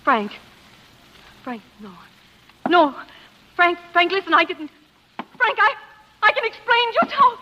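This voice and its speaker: An actress playing a terrified wife who pleads haltingly in 1940s radio drama style. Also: female voice, extremely high pitch, big pitch swings, pushed and bright, heavily acted. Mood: terrified, screaming. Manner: breathless, halting pleading that breaks into screams. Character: terrified pleading wife, accused by her husband. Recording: muffled old radio recording, echoing.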